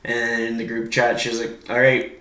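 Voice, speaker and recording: A man's voice: mid-range voice, completely flat delivery, normal speed, sharp tone, reverberant. A man hosting a podcast, asking questions and supportively affirming his guest. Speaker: podcast host. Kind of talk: casual interview chat.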